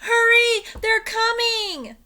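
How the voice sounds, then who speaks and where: A bold little girl shouting warnings in a bright, high, storybook voice, as a woman reader puts her on. She is loud and urgent, with her voice leaping up and down. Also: lively intonation, takes it slowly, exaggerated voice.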